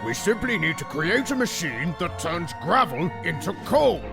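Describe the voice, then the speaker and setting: A high, creaky, ancient tycoon declaims over loud music. He is pompous, foolish and cantankerous, swooping through every line of this comic dub. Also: big pitch swings, normal speed, heavily acted. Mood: foolishly smug. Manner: pompous, melodramatic, doddering.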